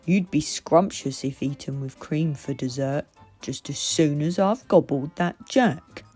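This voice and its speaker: Woman putting on a booming, grumpy storybook giant. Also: measured pace, dark tone, slightly rough, soft background music. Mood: grudging. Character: grumpy, hungry male giant who craves children. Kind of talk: storybook character voice.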